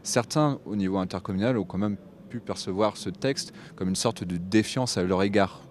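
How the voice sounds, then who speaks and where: A man hosting a TV interview, with halting phrasing as he puts his questions. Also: lowish voice, a little mellow, a little hiss or hum. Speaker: TV host interviewer. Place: TV set at a convention.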